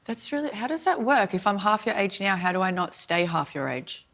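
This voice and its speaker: A woman's voice: mid-range voice, big pitch swings, a little fast, fairly bright tone. A woman in her early thirties co-hosting a podcast, chatting in a rambling, giggly way. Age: 30s. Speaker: podcast co-host. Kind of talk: casual chat.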